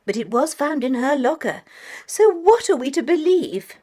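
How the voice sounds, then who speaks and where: A kind fussy lady companion in a kids audio play, highish voice that swoops all over, really earnest. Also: very animated, moderate pace, dark tone. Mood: troubled, earnest. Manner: earnest, emphatic, fussing. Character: kindly, fretful older female companion to an old lady. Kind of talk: children's audiobook dramatization.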